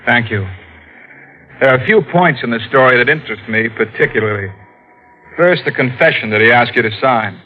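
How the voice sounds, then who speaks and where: An actor playing a stern, methodical district attorney in a 1940s radio drama, speaking in a crisp, measured, accusing way. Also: male voice, medium pitch, animated, lightly acted. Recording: muffled old radio broadcast.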